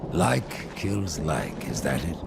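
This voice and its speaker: Grim undead rider for a game: rock-bottom pitch, gravel, curt and defiant. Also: male voice, big pitch swings, takes it slowly, background noise, exaggerated voice. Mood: dry, curt. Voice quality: very deep, gravelly. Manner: terse, dry, unyielding. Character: grim undead horseman, cold and defiant male Nephilim. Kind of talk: video game cutscene acting.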